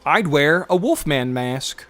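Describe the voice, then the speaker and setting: A young video creator chatting on a game livestream, rambling and hesitant with many restarts, joking along. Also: male voice, fairly high pitch, lively intonation, slow pace, fairly bright tone. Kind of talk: casual chat over a game stream.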